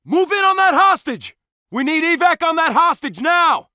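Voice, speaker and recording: A man's voice: very high voice, big pitch swings, moderate pace, sharp tone, no echo, studio quality, full character voice. A male actor voicing a tough, commanding SWAT officer in a video game, barking clipped, urgent, authoritative callouts. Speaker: police officer player character.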